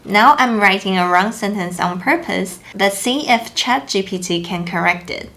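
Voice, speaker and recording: A young woman, a native Chinese speaker, hosting a language lesson video and explaining clearly in an upbeat, easily impressed way. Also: mid-range voice, normal speed, fairly bright tone. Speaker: language teacher and channel host.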